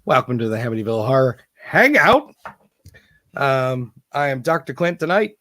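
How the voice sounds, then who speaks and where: A man, hoarse from illness, hosting a casual live video-call hangout and rambling with many ums and uhs. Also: mid-range voice, big pitch swings, normal speed, fairly bright tone, dry recording. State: hoarse, sick, partly lost voice. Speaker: host of a live online horror movie hangout. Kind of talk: casual live-stream chat.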